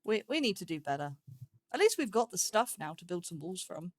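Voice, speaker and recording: A woman's voice: fairly low pitch, very animated, a little fast, no echo. A woman giving casual gameplay commentary as host, thinking aloud in short, broken phrases and playfully talking to herself. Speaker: let's play host.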